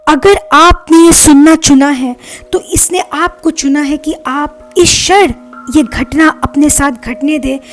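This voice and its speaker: A woman leading a guided meditation as a life coach, speaking in a soothing, deliberate, instructing way. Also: sits high. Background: meditation music.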